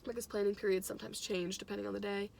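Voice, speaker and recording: A woman's voice: medium pitch, very fast, gravelly. Chatty young woman telling an anecdote. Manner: animated, giggly, quotes dialogue as she goes. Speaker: teacher and music fan telling a personal anecdote. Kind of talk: casual chatty storytelling.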